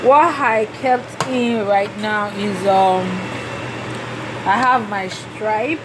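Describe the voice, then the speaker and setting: Slow-talking Nigerian woman explaining a recipe. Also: mid-range voice. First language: Yoruba. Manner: slow, halting, with many fillers. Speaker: cooking vlogger. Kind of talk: casual cooking tutorial. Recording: background noise.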